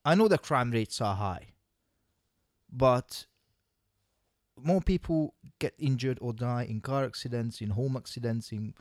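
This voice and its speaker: A man chatting casually as a podcast co-host, stammering on starts and then rushing through long points. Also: mid-range voice, no echo, clean studio sound. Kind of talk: casual chat.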